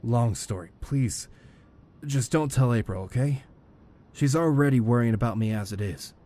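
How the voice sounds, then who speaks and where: A man playing a young neighbourhood tough in an audio drama, casual, halting and evasive. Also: medium pitch, warm tone, close to an everyday voice. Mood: embarrassed, evasive.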